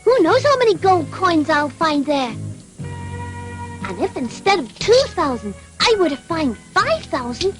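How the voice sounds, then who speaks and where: A woman's voice: very high voice, very animated, measured pace, faint music behind, exaggerated voice. A woman voicing an eager, naive little puppet boy in a cartoon, childlike and effusive. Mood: impatient, dreamy. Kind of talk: dubbed cartoon acting.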